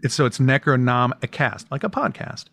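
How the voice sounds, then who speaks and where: A man talks loosely on a podcast, circling back and restarting his sentences as he plugs his own show. His voice sits at an ordinary middle pitch, and the recording is dry with no room sound. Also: animated, moderate pace, gravelly, a bit bright, dry recording. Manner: rambling, many restarts and fillers. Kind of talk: casual interview chat.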